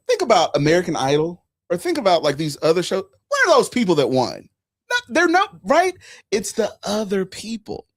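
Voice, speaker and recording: Host, man; casual and emphatic, lively pitch, dry room. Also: no echo. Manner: animated storytelling, emphatic asides. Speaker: podcast host. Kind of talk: casual live chat.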